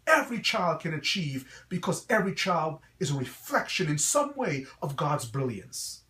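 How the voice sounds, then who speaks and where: A man's voice: fairly high pitch, sing-song, moderate pace, bright, forceful tone, distant mic. A middle-aged man with a slight Guyanese accent gives an earnest, formal address with the emphatic delivery of a preacher. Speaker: school founder and reverend. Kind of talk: earnest promotional address to camera. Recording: echoey, reverberant room.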